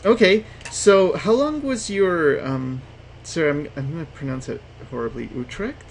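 Hesitant man interviewer with gravelly voice. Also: a little high, lively intonation, normal speed, a little hiss or hum. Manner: hesitant, many ums, short reactions. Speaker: interviewer, channel host. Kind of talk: casual interview questions.

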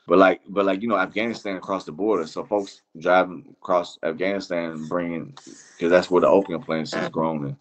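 Deep-voiced Iranian-born man, halting and slangy. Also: fairly even intonation. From Iran. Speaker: interview guest. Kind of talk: casual chat.

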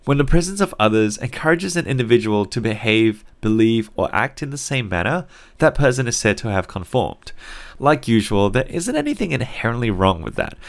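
A young man from Singapore, speaking with an Australian accent, narrating a scripted psychology lesson in a clear, conversational and lightly wry way. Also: medium pitch, big pitch swings, a little fast. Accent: Australian English, learned as an adult. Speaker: psychology teacher.

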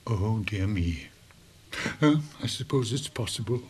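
A man's voice: lowish voice, lively intonation, a little slow, darkish tone, slightly rough. A man acting in a radio drama as an elderly, weary schoolmaster, speaking formally and hesitantly with dry irony. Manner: formal, hesitant, drily ironic. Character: elderly weary schoolmaster, courteous and drily ironic.

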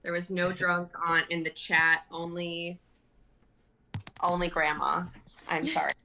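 A young woman with a fairly low, forceful, bright voice. She banters casually over a video call with faint noise. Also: level tone, a little slow, vocal fry, poor audio. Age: 20s. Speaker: regular performer on the stream. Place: indoor room on a video call.

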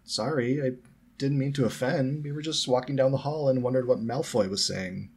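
A man's voice: mid-range voice, moderate pace, gravelly, a little mellow, breathy edge, voice pushed up. A man voicing a protective, polite teenage schoolboy with a Scottish accent, in a storybook reading. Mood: friendly concern.

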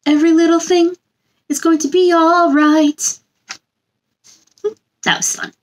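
A woman in her thirties doing a children's read-aloud, with a gentle sing-song delivery and chatty asides addressed to young listeners. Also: extremely high pitch, little pitch movement, measured pace. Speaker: children's storytime host reading a picture book aloud. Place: small indoor room.

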